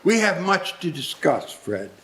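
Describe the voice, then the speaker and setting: A man acts an old miser in a live radio play. The voice sits a little high, with halting, stammered starts and formal, old-fashioned wording. The character is newly humbled and eager. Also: big pitch swings, sharp tone, exaggerated voice. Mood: hopeful, sheepish. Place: live community theatre stage.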